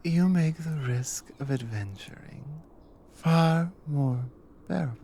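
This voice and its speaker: A woman playing a devoted, teasing Nordic warrior wife in an intimate ASMR roleplay, speaking in a soft, breathy murmur. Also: sits low, a little hiss or hum. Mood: affectionate, reflective. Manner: soft, breathy, hushed murmur with unhurried pauses.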